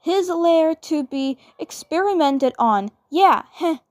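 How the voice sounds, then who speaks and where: A woman's voice: high voice, expressive, takes it slowly, a little mellow, dry recording, studio quality, pitched above the performer's own voice. A woman voicing an awkward, flustered teenage cartoon girl who stammers hesitantly through denials. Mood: nervous bluffing.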